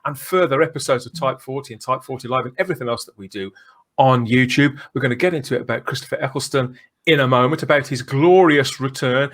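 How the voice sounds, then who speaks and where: A man's voice: medium pitch, fairly bright tone. A man hosting a fan podcast in a chatty, playful and effusive way. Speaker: podcast host.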